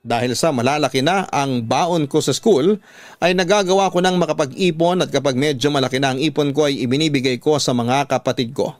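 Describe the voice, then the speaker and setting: A man's voice: a little high, brisk, no room sound. A man with a Filipino accent reading a scripted, dramatic first-person life story as a radio storytelling host.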